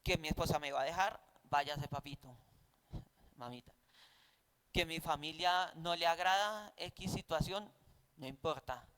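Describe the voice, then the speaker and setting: Male Bible teacher, Colombian accent, high smooth voice, conversational preaching with rhetorical questions. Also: a little slow, pauses often, fairly bright tone.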